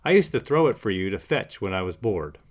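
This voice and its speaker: A man's voice: lowish voice, sing-song, brisk. Man voicing a wry, aloof female cat.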